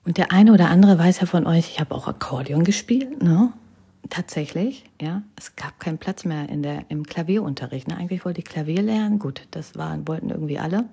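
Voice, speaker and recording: A woman's voice: on the low side, a little fast, vocal fry, mellow, breathy edge. A woman in her thirties telling personal stories in a casual chat, often tagging sentences with a questioning "ne?" and dropping into whispers. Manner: chatty, keeps adding "ne?", drops to whispers. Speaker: vlogger.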